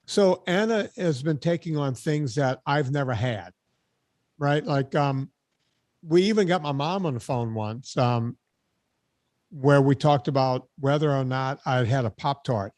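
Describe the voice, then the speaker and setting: A man's voice: medium pitch, a little slow, darkish tone, dry recording. A man in his late fifties hosting a podcast, telling stories in a chatty way and cutting in with questions. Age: 50s. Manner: chatty, storytelling, interrupts with questions. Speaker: podcast host. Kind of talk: casual interview chat.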